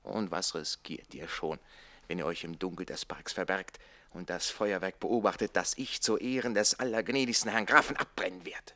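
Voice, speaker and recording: An actor playing a jealous, witty, defiant servant in a theatrical radio play, with declamatory delivery that moves between hushed and forceful. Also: male voice, low-pitched, moderate pace. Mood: defiant, conspiratorial.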